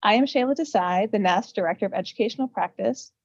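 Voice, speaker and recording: A woman hosts a podcast panel, reading polished introductions and moderating the guests. Her voice comes through dull and lo-fi, with no room sound. She speaks at a mid pitch. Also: fast talker, dry recording. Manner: reads polished intros, then moderates with fillers. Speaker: podcast host. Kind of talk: scripted podcast hosting. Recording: dull, dry lo-fi audio.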